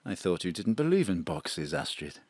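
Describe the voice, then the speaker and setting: An actor playing a man who lives as a famous female stage star, frightened and on the run, speaking in a slow, grand manner. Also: low-pitched, sing-song, darkish tone, dry recording. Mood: wistful. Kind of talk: audio drama acting. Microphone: home studio mic.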